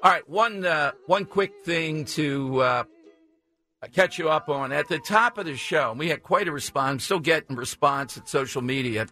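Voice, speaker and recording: Bright-voiced Philly talk radio host. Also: male voice, a little high, normal speed. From South Philadelphia. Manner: joking, teasing callers. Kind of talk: casual talk radio banter.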